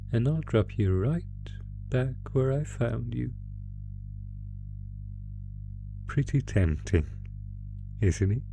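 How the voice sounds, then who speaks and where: Sadistic monster played by a man, low-pitched and unhurried, taunting a captive with mock courtesy, horror audio drama over music. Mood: coaxing, mock-generous. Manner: slow, taunting, mock-polite, savoring pauses. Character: sadistic male monster gleefully toying with a captive. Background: musical score.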